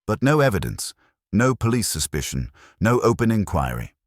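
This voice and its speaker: A man's voice: on the low side, sing-song, moderate pace, dry recording, studio quality, voice pushed up. A man voicing an imperious, pedantic detective in a mystery audiobook, speaking in terse, formal, pompous phrases. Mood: imperious, dry. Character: imperious, pedantic, heavyset middle-aged male genius detective.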